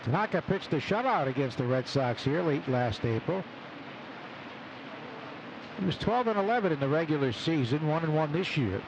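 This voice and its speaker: Male radio baseball announcer, raised pitch, clipped play-by-play phrasing, clearly noisy open-air stadium background. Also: lively intonation, normal speed, pauses often. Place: open broadcast booth at outdoor ballpark. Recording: noisy outdoor ballpark broadcast. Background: loud stadium ambience.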